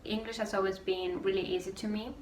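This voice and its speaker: A young woman from Venezuela, with a Venezuelan accent and Spanish as her first language, ranting casually to camera with lots of fillers. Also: medium pitch. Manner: quick, animated storytelling with many fillers. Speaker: vlogger. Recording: roomy echo, distant mic, faint noise.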